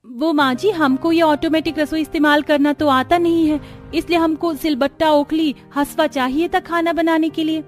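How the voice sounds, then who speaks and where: A woman's voice: high voice, fairly even intonation, moderate pace, faint music behind, natural voice. A woman voicing a meek, respectful young village daughter-in-law in a cartoon, polite and hesitant with rustic phrasing.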